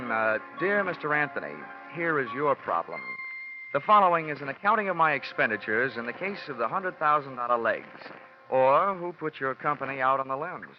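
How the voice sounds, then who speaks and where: An actor playing a dry, sardonic freelance insurance investigator in a 1940s radio detective drama. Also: male voice, mid-range voice, big pitch swings, normal speed, natural voice. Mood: dry, wry. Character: wry, wisecracking male freelance insurance investigator. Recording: muffled 1940s radio broadcast, music behind.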